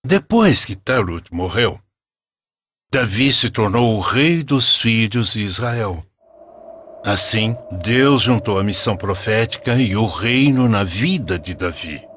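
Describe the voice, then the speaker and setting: A solemn Brazilian grandfather, tells cartoon stories in a husky voice. His delivery is slow and reverent, with lively rises and falls in pitch. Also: full character voice. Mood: solemn storytelling. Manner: measured, reverent. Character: solemn, kindly grandfather telling religious stories. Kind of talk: scripted storytelling narration.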